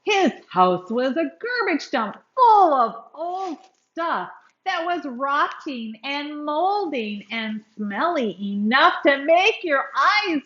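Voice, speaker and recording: A woman reading a children's story aloud, expressively, with dramatic emphasis and drawn-out words. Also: high voice, fairly bright tone, echoey room, highs cut off. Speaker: children's book reader.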